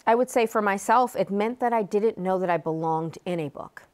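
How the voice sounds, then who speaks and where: A woman's voice: medium pitch, brisk, a little mellow, clean tone. An American woman speaking as an author and guest in an interview, explaining her work. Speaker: author and guest, book advocate.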